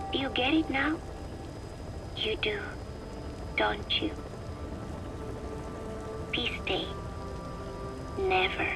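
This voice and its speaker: An actress playing a wistful young woman, speaking softly and deliberately in an intimate taped diary for a video game. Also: on the high side, rough recording. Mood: uneasy, dreamlike, then bitter, sorrowful.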